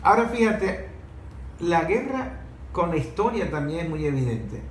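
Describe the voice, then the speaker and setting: A man's voice: a little high, animated, moderate pace. A man in a conversational debate about ideas, arguing his points and posing rhetorical questions. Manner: argumentative, poses rhetorical questions. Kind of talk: conversational political-historical debate. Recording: echoey room with faint noise.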